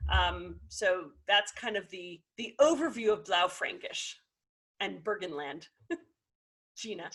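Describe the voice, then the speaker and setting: A woman's voice: mid-range voice, expressive, slow pace, fairly bright tone, husky. A woman presenting an overview of a wine grape in an informal, explanatory way, with frequent fillers, over a video call.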